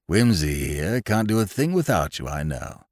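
A man's voice: low voice, big pitch swings, normal speed, dry recording, studio quality, voice pushed up. A man voicing an effusive, jovial English barrister in an audiobook, urbane and talkative, with an upper-class English accent. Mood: gracious, eager. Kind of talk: audiobook character voice.

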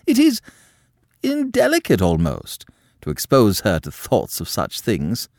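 A man voicing a haughty, prudish aristocratic countess who scolds in a high, shocked voice. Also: huge pitch swings, a little slow, creaky, a little mellow, no echo, clean studio sound, exaggerated voice. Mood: indignant.

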